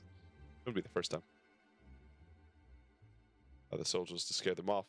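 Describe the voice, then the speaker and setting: A man's voice: sits low, fairly bright tone. A man streaming a game, reading its dialogue aloud with casual asides and the odd stumble over the words. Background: game music.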